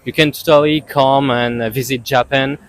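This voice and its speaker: Guy from reunion island, lots of uhs, mid pitch, just chatting on the street. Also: steady tone, normal speed, slight background noise. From Reunion Island, France. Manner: hesitant, many uh fillers. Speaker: tourist interviewee. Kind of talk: casual street interview.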